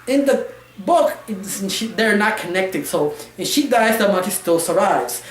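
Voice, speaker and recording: A woman giving a casual, opinionated review, rambling and halting, with non-fluent English phrasing. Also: mid-range voice, lively intonation, slight background noise. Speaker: cartoon reviewer. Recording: roomy, echoey sound.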